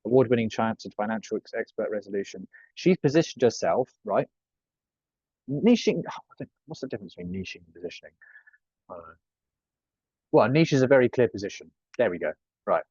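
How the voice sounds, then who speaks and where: A man's voice: on the low side, lively intonation, brisk, a little mellow, husky, no echo, highs cut off. A young man coaching a group on personal branding, chatty and jokey with frequent swearing and asides. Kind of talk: casual group coaching talk.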